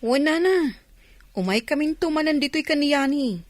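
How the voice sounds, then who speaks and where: A woman plays a teasing, playful young villager in a comedy radio drama. Her voice is very high, with an Ilocano Filipino accent, in a dry studio sound. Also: animated, moderate pace, no room sound. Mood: cheerful, polite.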